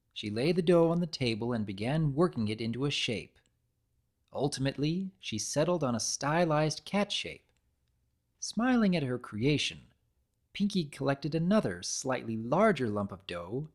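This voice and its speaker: A man reading a story aloud as narrator, in an expressive, measured storybook style. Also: a little high. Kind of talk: dramatic reading of fan fiction, scripted storybook narration.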